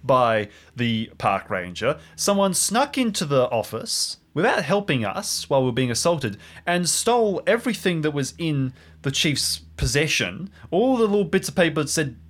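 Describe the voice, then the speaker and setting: A man's voice: a little high, very animated, moderate pace, few pauses. A man playing a rattled, insistent new park ranger in an improvised audio drama, questioning and repeating himself. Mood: agitated, exasperated.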